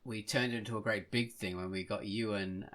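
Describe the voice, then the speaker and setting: A man's voice: fairly low pitch, steady tone, moderate pace, fairly bright tone. A man hosting a podcast, telling stories in a casual, rambling, hesitant way. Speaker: podcast host.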